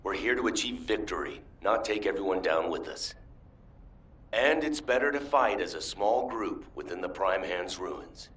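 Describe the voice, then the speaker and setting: An actor playing a steady, resolute front-line commander reporting in a video game. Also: male voice, low voice, moderate pace, distant mic. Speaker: military commander.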